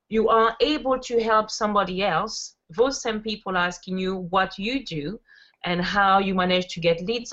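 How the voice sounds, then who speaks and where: A woman's voice: medium pitch, fairly bright tone. A woman in her thirties from France, chatting in a casual interview as a guest and telling stories with frequent fillers. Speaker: interview guest, network marketer. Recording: dull lo-fi audio.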